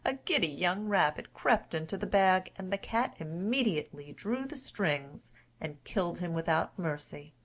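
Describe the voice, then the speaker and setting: A woman's voice: fairly low pitch, expressive, normal speed, hardly any pauses. A woman storyteller giving a scripted narration of a classic children's tale.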